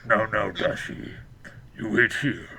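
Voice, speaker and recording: A gravelly, menacing pony girl, as a man puts her on, low and hushed with a sharp, bright edge to the rasp. She speaks softly and keeps an eerie calm in a lo-fi storybook reading. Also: takes it slowly, full character voice. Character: sinister scheming female pony, eerily calm.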